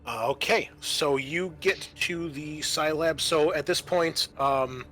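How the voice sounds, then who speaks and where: A man narrating a tabletop roleplaying game as game master, improvising and thinking aloud with hesitant pauses. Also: fairly high pitch, fairly bright tone, a little hiss or hum.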